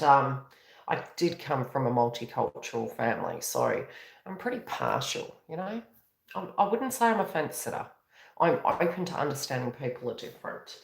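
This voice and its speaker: A woman's voice: extremely low pitch, reverberant. A woman with a New Zealand accent, a tarot reader and astrologer on a live stream, talking in a tired, rambling, conversational way with many self-corrections. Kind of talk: live-streamed spiritual reading.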